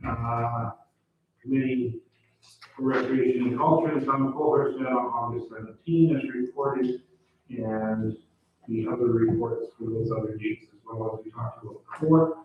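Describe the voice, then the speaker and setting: Male councilor, native French speaker, halting delivery, far from the mic in an echoey room. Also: mid-range voice, steady tone, slight background noise. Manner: halting, searches for words, repeats himself. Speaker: town councilor. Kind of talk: council meeting report. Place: council chamber. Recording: echoey chamber, distant phone-like mic.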